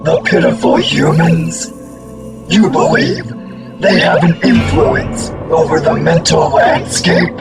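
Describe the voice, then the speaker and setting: A man's voice: fairly high pitch, wildly swooping pitch, slow pace, creaky, loud music behind the voice, full character voice. A man voicing an ancient, contemptuous alien monster in a radio drama, cold and imperious. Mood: scornful.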